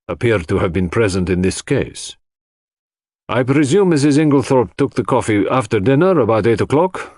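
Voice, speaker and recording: Low, precise medical expert acted by a man. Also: darkish tone, no echo, studio quality. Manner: measured, formal, precise. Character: authoritative male London toxicologist giving evidence at inquest.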